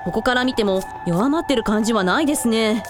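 A caring female shrine priest played by a woman takes charge in a radio drama. Her high voice is brisk and concerned, over clear background music. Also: lightly acted.

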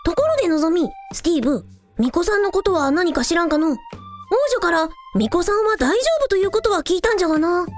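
A woman's voice: very high-pitched, lively intonation, fast talker, soft background music. A woman voicing a naive, affectionate dragon in small-child form, talking in a cute old-fashioned way for an audio drama. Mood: earnest, innocent. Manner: archaic elder-style speech in a cute child voice. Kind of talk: Japanese audio drama acting.

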